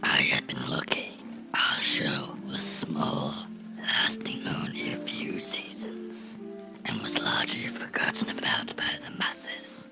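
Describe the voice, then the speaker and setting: A man voicing a bitter, menacing cartoon character in a slow, brooding horror ASMR monologue, whispering in a breathy, gravelly voice. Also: very deep voice, huge pitch swings, full character voice. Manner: slow, deliberate, brooding storytelling with dramatic pauses. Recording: whispered with music underneath.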